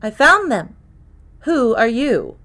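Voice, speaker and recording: A woman voicing a precocious young girl in an audiobook, calm and clear, with a cynical, worldly edge. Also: on the high side, takes it slowly, pitched above the performer's own voice, full character voice. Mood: coolly sarcastic. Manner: clear, calm, worldly beyond her years. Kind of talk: acted audiobook dialogue.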